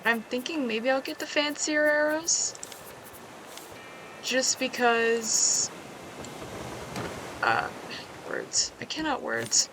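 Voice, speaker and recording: A woman with a slightly hoarse voice giving casual, chatty commentary while she plays a survival game, making wry asides to herself. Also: high voice, big pitch swings, slow, long pauses, noisy background. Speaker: gaming video creator.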